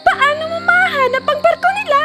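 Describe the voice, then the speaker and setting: A woman voicing an anxious young royal attendant in an animated fairy tale, fretful and full of questions. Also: extremely high pitch, fairly quick, loud music behind the voice. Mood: worried.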